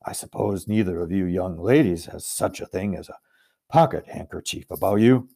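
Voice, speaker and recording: A man voicing a huge, polite, bumbling male giant with a gruff, rustic way of speaking. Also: deep voice, moderate pace, dark tone, pitched below the performer's own voice, full character voice. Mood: puffing.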